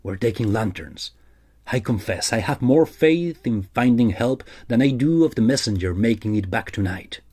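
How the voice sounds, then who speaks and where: An actor playing a confident, protective Victorian gentleman, earnest, formal and well-spoken, in a period audio drama. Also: mid-range voice, expressive, moderate pace, husky. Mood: earnest, reassuring. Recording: music behind the voice.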